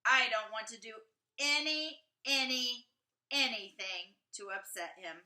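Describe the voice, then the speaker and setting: An earnest schoolboy from a storybook , acted out by a woman, gushing and emphatic as he reads out his writing. The voice is very bright and high, taking its time over the words in an echoey room. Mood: anxious, hesitant. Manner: emphatic, halting repetition of words. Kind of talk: expressive storybook read-aloud.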